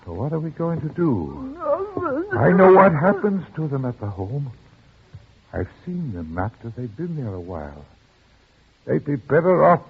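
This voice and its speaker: An actor playing a frightened, loving elderly father, halting and repeating himself, in 1940s radio drama style. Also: mid-range voice, very animated, gravelly, background noise. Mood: desperate. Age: older. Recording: muffled old radio recording with music.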